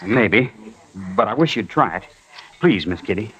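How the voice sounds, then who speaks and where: Polite, hesitant young rancher. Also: male voice, lowish voice, expressive, a little slow, fairly bright tone, husky, highs cut off, exaggerated voice. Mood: shy, pleading. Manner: hesitant, deferential, folksy. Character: polite, earnest, worried young rancher, protective brother. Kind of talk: 1950s radio western acting.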